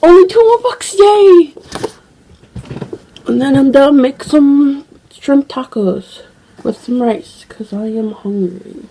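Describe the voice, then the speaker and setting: A young woman talks at an unhurried, drawn-out pace, rambling through her thoughts with plenty of fillers and restarts. She sounds a little stuffy from a cold and coughs now and then. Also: high voice, animated, dark tone, a little hiss or hum, low-quality recording. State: slightly congested with a cold, occasional cough. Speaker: book vlogger. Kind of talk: casual chat.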